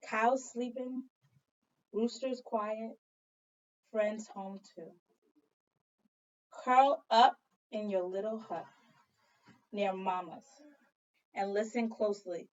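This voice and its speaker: A woman teacher doing an expressive read-aloud for children, telling the story dramatically and prompting listeners with questions. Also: medium pitch, slow, pauses often. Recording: dull lo-fi sound, distant from mic.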